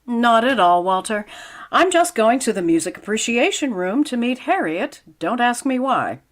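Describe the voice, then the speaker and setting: A woman's voice: on the high side, sing-song, normal speed. A woman playing a pleasant, wisecracking schoolteacher with a dry, wry manner in old-time radio comedy style. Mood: bemused.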